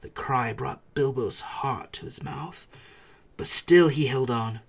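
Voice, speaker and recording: A man's voice: mid-range voice, takes it slowly, creaky. A man reading a storybook aloud as a dramatic narrator, with theatrical, suspenseful delivery. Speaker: audiobook reader.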